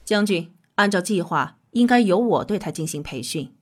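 A woman playing a calm, earnest scientist, explaining in a measured way in an audio drama. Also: fairly low pitch. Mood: firm. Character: calm, earnest female scientist with mystical faith.

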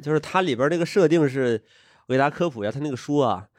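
A man's voice: on the high side, animated, racing. A man co-hosting a podcast with comic banter, joking and laughing through his own punchlines. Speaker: podcast co-host.

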